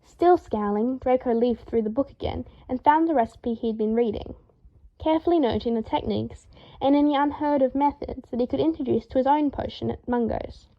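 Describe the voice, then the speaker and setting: A woman reading a story aloud as narrator in an expressive, scripted style. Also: sits high, brisk, highs cut off. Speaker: fan fiction narrator.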